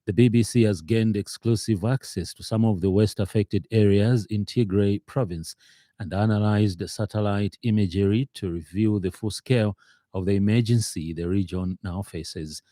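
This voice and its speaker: A man with a Zambian accent reading a scripted radio news bulletin in a formal, somewhat halting manner. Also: on the low side, dark tone, no room sound, studio quality. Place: radio studio.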